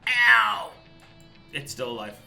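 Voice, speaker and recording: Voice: medium pitch, very animated, slow pace, creaky, bright, forceful tone, soft background music. A playful, exclamatory game master running an online tabletop game over a group voice call.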